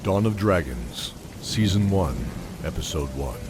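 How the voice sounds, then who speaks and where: A man's voice: low-pitched, animated, unhurried, background noise. A man reading scripted, dramatic narration as the narrator and announcer of an audio drama.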